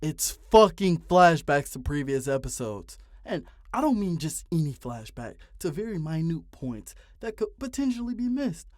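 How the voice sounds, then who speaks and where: A man's voice: a little high, big pitch swings, moderate pace, hardly any pauses, no echo. Twenty-something guy ranting his video essay, cussing a lot, very dry close sound. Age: 20s. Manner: exasperated, profane, emphatic.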